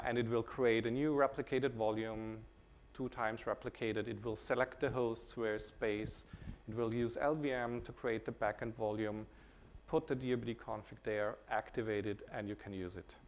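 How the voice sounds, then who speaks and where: A man from Austria with a German accent, presenting a technical talk at a conference in a halting way, with many hesitations and restarts. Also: fairly low pitch, steady tone, a little hiss or hum.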